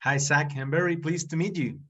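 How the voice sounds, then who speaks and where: A man's voice: on the high side, steady tone, brisk, a bit bright. A man from Chile with a Chilean accent in English, a native Spanish speaker, politely asking interview questions.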